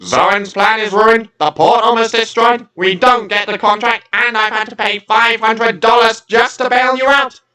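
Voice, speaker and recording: Figure: furious tugboat boss, male, voiced by a man. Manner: ranting, shouting. Pitch: very high, strained. Delivery: loud, sharp. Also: fairly even intonation, normal speed, few pauses. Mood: enraged.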